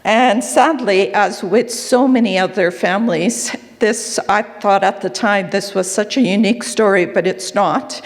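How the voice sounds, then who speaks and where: A woman's voice: mid-range voice, unhurried, hardly any pauses. A woman with a Canadian accent giving an earnest, candid personal talk at a conference, with fillers and small asides. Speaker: conference speaker. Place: conference hall. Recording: long reverb of a hall.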